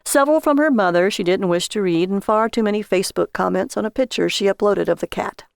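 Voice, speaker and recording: A woman's voice: medium pitch, fast, creaky, no room sound, studio quality. A woman reading scripted narration as the storyteller of a comic horror short story.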